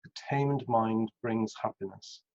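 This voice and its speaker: A man reading scripture aloud in a measured way. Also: on the low side, darkish tone. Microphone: video call over Zoom. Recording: dull, lo-fi video call.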